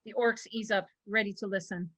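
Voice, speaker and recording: A woman's voice: medium pitch, fairly even intonation, fairly quick, a bit bright. A woman narrating a screenplay, reading out scripted stage directions at a table read.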